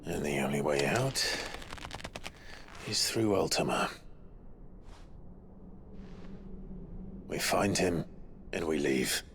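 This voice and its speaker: Game hero: earnest, brooding fighter, slow delivery over loud ambient noise. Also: male voice, a bass voice, animated, exaggerated voice. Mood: grim determination. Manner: earnest, determined. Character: resolute, brooding male warrior leading his companions. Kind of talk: dramatic video game acting.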